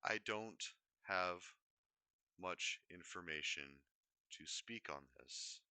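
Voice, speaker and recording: Man, low pitch, sharp bright tone, rambling unscripted livestream talk, self-correcting, dry close sound. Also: takes it slowly, clean tone, no echo. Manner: hesitant, digressive, self-correcting mid-thought. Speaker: livestream host.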